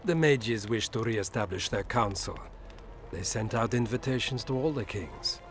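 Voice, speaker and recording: Man playing a low-voiced, guarded imperial ambassador. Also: expressive, lightly acted. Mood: coolly measured. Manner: formal, measured, insinuating diplomat. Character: suave, guarded middle-aged male imperial ambassador. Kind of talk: video game dialogue acting. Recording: music behind the voice.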